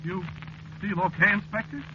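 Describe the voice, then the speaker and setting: An actor playing a nervous, superstitious American cab driver in a 1940s radio drama, pleading and stammering in working-class slang. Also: male voice, high-pitched, animated, moderate pace. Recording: dull, noisy vintage radio recording.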